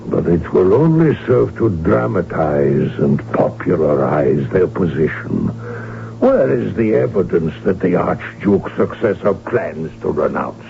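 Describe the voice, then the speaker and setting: A man playing an aged, weary, cautious emperor in a radio drama, speaking in a formal, measured, gravelly voice. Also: low-pitched, very animated, heavily acted. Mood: grave, guarded. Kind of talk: 1970s radio drama acting. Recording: muffled, noisy old radio broadcast recording.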